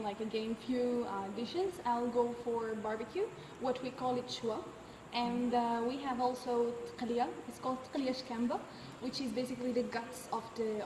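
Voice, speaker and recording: A woman's voice: fairly high pitch, recorded from a distance. Woman in her twenties, echoey hall. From United States. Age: 20s. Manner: relaxed, often pauses with uh. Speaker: interviewee, university student. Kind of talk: casual explanatory interview answers. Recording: echoey hall from afar, background noise.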